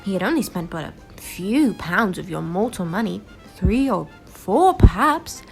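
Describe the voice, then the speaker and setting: A young woman on a school stage playing a calm, probing spirit guide, speaking solemnly with pointed questions. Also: medium pitch, a little slow, vocal fry, faint music behind, rough recording. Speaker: stage actor. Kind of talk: school stage play acting.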